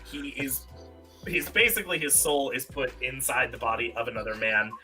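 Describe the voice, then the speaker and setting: Very bright-voiced man hosting a quiz. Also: medium pitch, normal speed, poor audio. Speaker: quiz game host. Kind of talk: casual live-stream quiz hosting with read-out questions. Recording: music behind the voice. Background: music.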